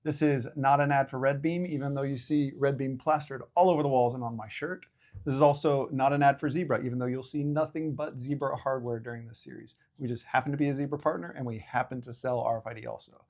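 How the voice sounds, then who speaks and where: A man's voice: mid-range voice, steady tone, fast, darkish tone. Casual, self-deprecating man hosting an explainer. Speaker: host of an educational video series. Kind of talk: casual explainer talk to camera.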